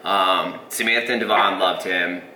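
A man's voice: deep voice, fairly even intonation, moderate pace, bright, forceful tone. A young man co-hosting a podcast in casual chat, rambling through a story with hesitant fillers. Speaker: podcast co-host. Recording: echoey room, faint hiss.

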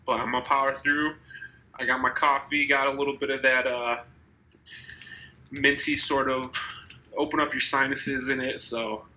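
A man's voice: mid-range voice, level tone, moderate pace, pauses often, pushed and bright, muffled recording, slight background noise. A young man in his twenties with a slightly congested, nasal voice from a cold, hosting a casual podcast chat and rambling with many restarts. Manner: rambling, restarts, laughs easily. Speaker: podcast host.

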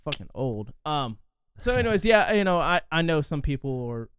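A man's voice: a little high, sing-song, normal speed, fairly bright tone, clear, resonant tone. A man in his twenties from Indiana, rambling through a casual chat with lots of fillers and swearing. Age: 20s. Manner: rambling, profane, frequent fillers.

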